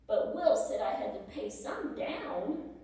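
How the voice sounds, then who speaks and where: Casting: actress as determined, hard-pressed 1860s farm wife, high voice, rustic storytelling in a dramatic letter reading, very echoey room. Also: female voice, very animated, unhurried, warm tone, full character voice. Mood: resolute. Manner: plain-spoken, rustic storytelling with old country grammar. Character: determined, struggling Civil War farm wife writing to husband. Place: live presentation in an echoey room. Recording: echoey room, distant microphone.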